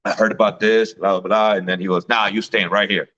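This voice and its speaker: A man's voice: lowish voice, little pitch movement, brisk, fairly bright tone, muffled recording. A man who grew up in Mexico, chatting casually and telling stories, often repeating words and laughing. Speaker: guest. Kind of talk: casual chat.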